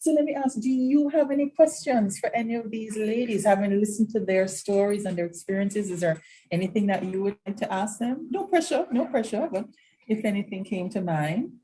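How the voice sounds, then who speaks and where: A woman moderating a panel discussion, explaining points clearly and with emphasis. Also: mid-range voice, brisk, mellow, rough recording.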